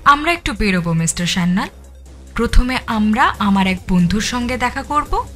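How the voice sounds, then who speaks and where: An actress playing a cold, scheming murderous wife in an audio thriller, composed, menacing and contemptuous. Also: female voice, fairly high pitch, animated, moderate pace, fairly bright tone, soft background music. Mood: coldly contemptuous. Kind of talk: dramatised audio thriller acting.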